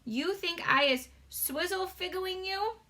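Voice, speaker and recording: A woman's voice: extremely high pitch, slow, pushed and bright, voice pushed up, full character voice. A woman voicing an earnest, touchy giant man in a storybook, speaking emphatically with folksy, muddled grammar. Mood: offended. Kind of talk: storybook read-aloud character voice.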